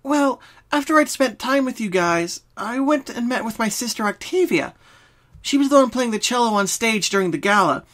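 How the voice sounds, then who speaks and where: Sweet, earnest young female cartoon pony voiced by a story reader, high-pitched, timid, hesitant delivery. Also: animated, normal speed, fairly bright tone, natural voice. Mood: recalling, earnest.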